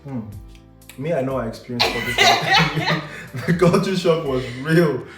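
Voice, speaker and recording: A man's voice: fairly high pitch, expressive, a little slow. A young man from Cameroon hosting his own channel in a casual, playful, joking way. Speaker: channel host. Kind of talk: casual vlog chat. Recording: echoey room with music behind.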